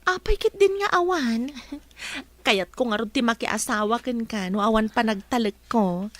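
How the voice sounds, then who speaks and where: A woman's voice: on the high side, a little slow, dry recording, close to an everyday voice. A woman with an Ilocano Filipino accent playing an eager, insistent young bride-to-be in a radio comedy drama. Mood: eager, bossy.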